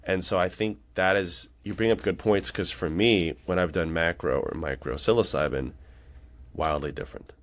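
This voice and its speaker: Deep-voiced man in casual conversation. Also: normal speed. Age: late 30s. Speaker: podcast conversation partner. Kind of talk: casual podcast chat.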